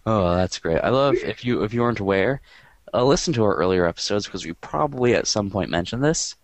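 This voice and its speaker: A man hosting a podcast in casual chat, with a quiet, monotone voice and a rambling, dry way of talking. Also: lowish voice, fairly quick, dry recording. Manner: rambling and dry, filler-heavy. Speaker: podcast host.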